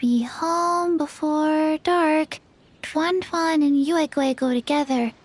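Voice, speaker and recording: Very slow, deadpan little girl. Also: sits high. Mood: earnest. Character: small undead girl, forgetful, earnest and flat. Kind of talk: video game voice acting. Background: children's music.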